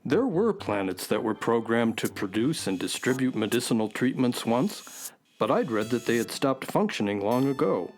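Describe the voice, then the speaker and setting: A man's voice: medium pitch, sing-song, normal speed, close to an everyday voice. A man playing an earnest, knowledgeable, polite space adventurer in a children's radio drama, speaking precisely and courteously. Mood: curious, fascinated. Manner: precise, courteous, well-spoken.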